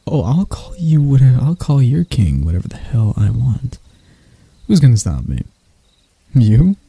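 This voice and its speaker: A man playing a husky, dark-voiced proud elf royal. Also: medium pitch, big pitch swings, moderate pace, no echo, heavily acted. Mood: scornful, taunting. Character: proud, mocking elf royal interrogating an enemy knight. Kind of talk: ASMR roleplay monologue.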